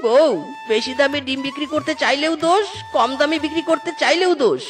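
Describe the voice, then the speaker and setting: A woman voicing a goofy, excitable young male fox bridegroom in a comic cartoon, with whiny exclamations and bits of English thrown in. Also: sits high, moderate pace, soft background music, natural voice. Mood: whiny, dejected. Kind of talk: exaggerated comic cartoon voice acting.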